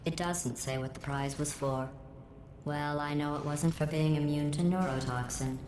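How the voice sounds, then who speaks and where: Low sarcastic robot-lady game AI, flat and mocking, echoey with hiss behind. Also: moderate pace. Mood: deadpan mocking. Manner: dry, mocking, deadpan delivery. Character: cold sarcastic female AI overseer. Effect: processed synthetic voice filter. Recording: echoey game audio with background noise.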